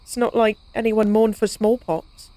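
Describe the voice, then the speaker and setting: A woman's voice: fairly high pitch, level tone, unhurried, mellow, dry recording, natural voice. A woman playing a playful, cocky, science-obsessed vampire in an intimate audio roleplay, speaking in a casual, teasing, wry way. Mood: wry, resigned.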